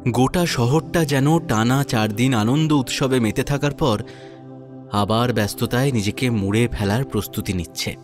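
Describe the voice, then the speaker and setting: Earnest male story narrator over soft music. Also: medium pitch. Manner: measured, earnest. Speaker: narrator and lead character of audio story. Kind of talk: dramatic first-person story narration with acted dialogue. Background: new-age music.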